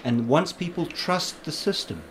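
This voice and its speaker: A man's voice: on the high side, lively intonation, unhurried, slight background noise. A man who is a guest in an interview, answering in an assured, agreeable and explanatory way. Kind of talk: casual interview.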